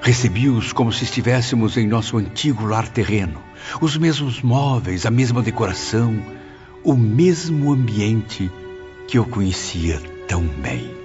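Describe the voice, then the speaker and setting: A man playing a reflective memoirist, narrating his afterlife story in a solemn, measured, literary audiobook style. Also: fairly low pitch, lively intonation, darkish tone. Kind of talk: scripted first-person audiobook narration. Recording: dull lo-fi audio over faint music.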